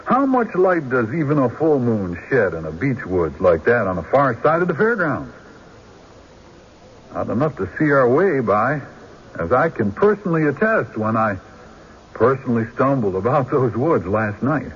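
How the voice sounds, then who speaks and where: A shrewd country defense lawyer in a radio drama. His husky voice rises and falls dramatically as he builds deliberate, theatrical arguments to the court. The recording is dull, like old radio. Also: mid-range voice, big pitch swings. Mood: emphatic, incredulous.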